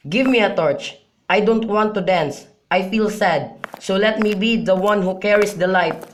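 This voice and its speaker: A man's voice: sits high, a little slow. A young man in an amateur radio drama, playing a melancholy, lovesick youth in an earnest, mournful manner. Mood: glum. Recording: clearly noisy amateur recording with clicks.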